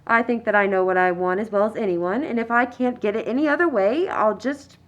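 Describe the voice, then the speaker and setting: A woman's voice: fairly high pitch, a little fast, muffled recording, close to an everyday voice. A woman playing a snobbish, conservative society mother in a radio play, speaking in a genteel, condescending manner. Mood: indignant, decided. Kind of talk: stage-style radio play acting.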